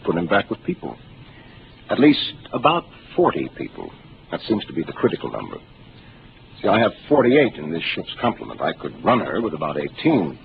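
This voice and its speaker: An actor playing a calm, seasoned starship captain who speaks in a measured, authoritative way, in a 1950s radio drama. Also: male voice, deep voice, gravelly, full character voice. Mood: explaining. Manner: measured, authoritative, explaining patiently. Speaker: ship captain. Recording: hissy narrow 1950s radio broadcast.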